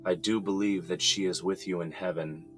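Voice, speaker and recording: An actor playing a grown son who is in jail and prays for help, in an earnest, halting way with sighs. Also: male voice, deep voice, fairly even intonation, a bit bright. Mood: pleading. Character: adult son jailed, praying for release. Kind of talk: audio drama acting. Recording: music under the voice.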